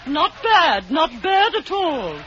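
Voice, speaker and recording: A gushing drama coach. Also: female voice, sits high, very animated, slow pace, sharp tone, a faint crowd, a little hiss or hum, full character voice. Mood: theatrical. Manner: theatrical, gushing, instructing. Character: fussy gushing middle-aged female drama coach. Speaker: drama coach running auditions. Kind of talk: 1940s radio comedy acting. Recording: dull old radio broadcast, studio audience.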